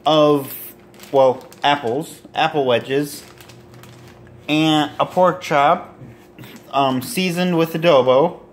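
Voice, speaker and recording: A man in his twenties casually demonstrating home cooking to camera in a halting, rambling, self-deprecating way. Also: on the high side. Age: 20s. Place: small home kitchen and dining room. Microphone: handheld or propped phone near arm's length. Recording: roomy echo, kitchen noise with sizzling.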